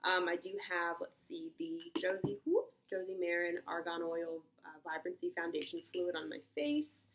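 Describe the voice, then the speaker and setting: A young woman with a stuffy, congested voice that sits a little low, chatting through a casual makeup review. She thinks out loud, with plenty of ums and quick little exclamations as she tries each shade. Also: very animated, dull audio. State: congested from a cold. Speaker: beauty vlogger.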